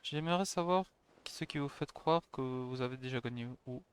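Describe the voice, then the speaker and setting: A man's voice: medium pitch, fairly quick, smooth. A man livestreaming a video game, commenting and reading dialogue aloud. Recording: faint background noise, clicks.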